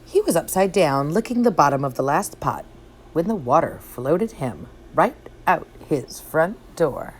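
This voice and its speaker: A woman narrating a children's story as an expressive read-aloud. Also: low voice, unhurried, a little hiss or hum. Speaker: storybook reader.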